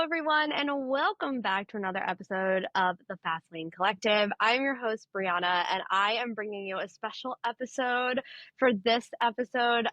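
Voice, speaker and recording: A woman's voice: fairly high pitch, a bit bright, no echo, dull audio. A young woman hosting a podcast interview, gushing and enthusiastic as she introduces and questions her guest. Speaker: podcast host.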